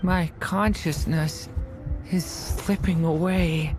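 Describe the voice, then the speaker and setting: Slow, hesitant young dragon boy. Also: on the low side, steady tone, over music. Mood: weak, fading. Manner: hesitant, earnest, soft-spoken. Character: gentle young boy dragon, uncertain but brave. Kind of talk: anime-style video game drama.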